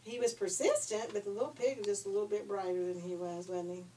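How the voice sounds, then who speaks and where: A woman's voice: medium pitch, fast, mellow. An older woman telling stories to children in an informal, folksy, conversational way. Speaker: storyteller for children. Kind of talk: informal storytelling. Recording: distant microphone, faint background noise.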